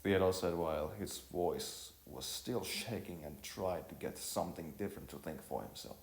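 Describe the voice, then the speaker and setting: A man's voice: low-pitched, moderate pace. A man reading a scripted story as narrator, often stumbling and repeating phrases as he goes. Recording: roomy echo, clear music bed. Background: music.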